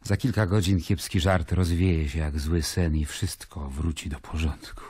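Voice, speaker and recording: An actor playing a stern, brooding Roman governor in an audio drama, solemn and commanding. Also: male voice, rumbling low, fairly even intonation, measured pace, darkish tone, slightly rough, heavily acted. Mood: grave.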